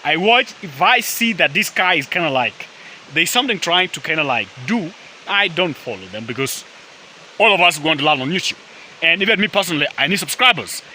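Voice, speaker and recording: Swahili-native male vlogger, rambling and filler-heavy, keeps saying guys, sharp edge to the voice. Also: fairly high pitch, lively intonation, a little fast, a little hiss or hum, low-quality recording. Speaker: YouTube vlogger. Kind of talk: casual talk to camera. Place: outdoors in a forest by a river.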